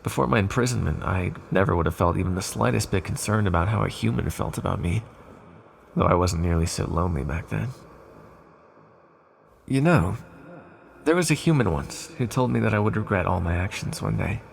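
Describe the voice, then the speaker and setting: A man voicing an ancient, imprisoned villain in an ASMR roleplay, condescending yet lonely and flirtatious, with smug, articulate, softly teasing delivery. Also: animated, a little fast, slight background noise, exaggerated voice. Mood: wistful, self-conscious. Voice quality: deep, gravelly, husky. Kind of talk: ASMR roleplay monologue to the listener.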